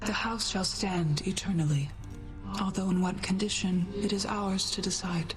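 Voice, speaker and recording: A woman plays a regal goddess for a game in a medium-pitched voice. She is formal, composed and dutiful, with faint music behind her. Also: steady tone, lightly acted. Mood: composed, solemn. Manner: formal, measured, stately. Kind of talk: video game voice acting.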